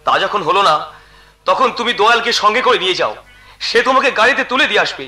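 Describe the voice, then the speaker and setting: A sly young villain of the family in a stage melodrama. He is extremely high for a man and forcefully bright, theatrical and emphatic, swinging widely in pitch. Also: lively intonation, quick pace, faint music behind, heavily acted. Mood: falsely concerned. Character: scheming, falsely solicitous young brother-in-law villain. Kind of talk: Bengali jatra folk-theatre melodrama.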